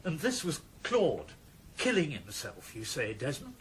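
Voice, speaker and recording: A fretful, incredulous police chief in a nineties radio drama. His voice is fairly high and very lively in pitch as he probes anxiously, with faint noise behind. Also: measured pace. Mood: anxious probing. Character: appalled, fretful male police chief questioning his officers. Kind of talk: 1990s radio drama acting.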